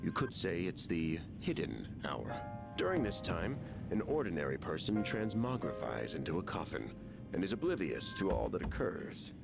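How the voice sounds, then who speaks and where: A man voicing a genial, enigmatic middle-aged chairman in a video game, with a measured, explanatory manner. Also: deep voice, sing-song, creaky, heavily acted. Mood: calm musing. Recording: loud music behind the voice.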